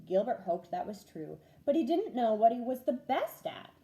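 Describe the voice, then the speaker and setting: A woman reads a children's story aloud like a first-grade teacher. Her voice is fairly high and soft-edged, with very lively swings in pitch. She tells it expressively and paces it clearly. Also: moderate pace. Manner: animated, clearly paced, acting out dialogue.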